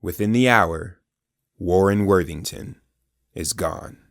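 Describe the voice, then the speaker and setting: A man's voice: low voice, slow, creaky, no echo, clean studio sound, full character voice. A man narrating a superhero audio drama as a dramatic scripted storyteller.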